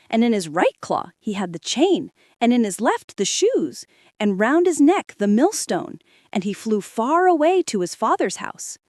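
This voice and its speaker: A woman reading a fairy tale aloud to children in a slow, expressive bedtime voice. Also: fairly high pitch, no room sound, studio quality. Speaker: storyteller. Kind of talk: storybook read-aloud.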